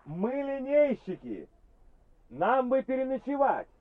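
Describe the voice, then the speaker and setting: Courteous workman, high lively voice, tinny old radio drama sound. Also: takes it slowly, full character voice. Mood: polite, persuasive. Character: polite traveling power-line worker seeking lodging. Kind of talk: radio play acting. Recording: tinny, narrow-band old radio play.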